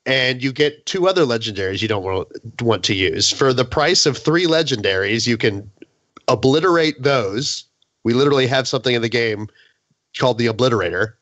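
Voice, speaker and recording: A man's voice: mid-range voice, animated, fairly quick, a bit bright, no echo, dull audio. A man in a casual gaming podcast discussion, speaking in an emphatic, opinionated and hyperbolic way. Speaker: podcast panelist.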